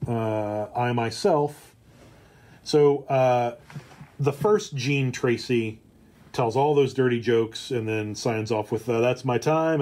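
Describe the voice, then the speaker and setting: Slow, halting man chatting on a livestream. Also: medium pitch, darkish tone. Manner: halting, hesitant delivery with drawn-out pauses. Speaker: streamer and comedy writer presenting a script. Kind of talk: casual livestream chat.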